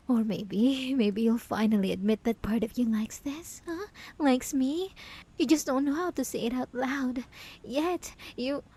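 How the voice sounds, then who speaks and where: A woman's voice: sits high, measured pace, husky. A young woman performing an obsessive, possessive female killer locked in a cell, taunting in whispers and bursting into outbursts. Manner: taunting, slipping from soft whispers to sudden outbursts. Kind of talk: roleplay audio drama to the listener.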